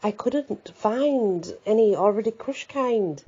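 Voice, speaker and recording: Woman reading an easygoing teasing guy, husky. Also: mid-range voice, animated, slow pace, dark tone, muffled recording, pitched above the performer's own voice. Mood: defensive.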